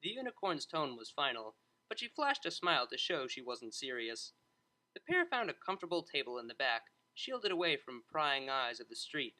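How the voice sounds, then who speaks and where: A narrator reading a story aloud in a scripted, expressive storytelling style. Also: medium pitch, moderate pace, poor audio.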